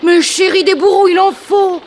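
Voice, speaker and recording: High-voiced, coaxing flirt of a mistress. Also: female voice, steady tone, moderate pace, a little mellow, a little hiss or hum. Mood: flirtatious, excited. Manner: gushing, cajoling, familiar speech. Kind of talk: 1960s French radio drama acting. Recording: old radio serial with music behind.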